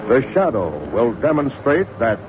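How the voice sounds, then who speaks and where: Lively 1940s male radio announcer. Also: mid-range voice, normal speed. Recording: noisy, muffled old 1940s radio recording.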